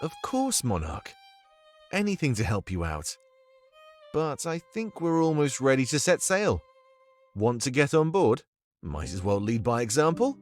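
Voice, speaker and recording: A man's voice: mid-range voice, wildly swooping pitch, unhurried, faint music behind, voice pushed up. A man voicing an eager, helpful comrade in a visual novel, upbeat and obliging.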